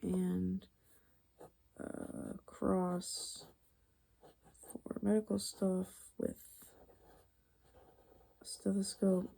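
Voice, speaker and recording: A young adult woman hosting ASMR, speaking softly and breathily, often whispering, musing aloud in an informal, murmured way. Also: on the low side, flat delivery, very slow, creaky, long pauses. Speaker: ASMR video host. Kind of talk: ASMR, soft-spoken personality explainer while drawing.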